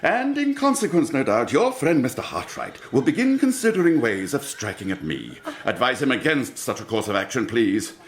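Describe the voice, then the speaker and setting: An actor playing a suave, courtly gentleman villain in an audio drama, florid and theatrical, with menace under the courtesy. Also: medium pitch, very animated, moderate pace, hardly any pauses, close to an everyday voice. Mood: veiled threat.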